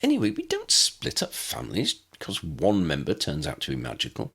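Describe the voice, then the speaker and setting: A man acts a casual, good-natured wizard in a storybook. He talks bluntly and loosely, with big swings in a low register. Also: huge pitch swings, a little fast, vocal fry, fairly bright tone, slightly rough, full character voice. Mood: breezy, blunt. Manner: informal, loose phrasing.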